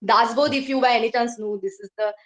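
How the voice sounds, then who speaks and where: A woman whose first language is Marathi, halting and searching for words as she asks a question in an online class. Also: a little high, steady tone. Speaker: student asking a question.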